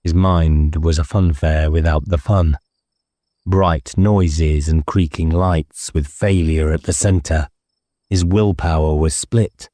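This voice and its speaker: A male narrator reads a novel in a dramatic, scripted style. His voice is low and dark, and the recording is close and very dry. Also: fairly even intonation, takes it slowly, no room sound. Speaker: audiobook narrator.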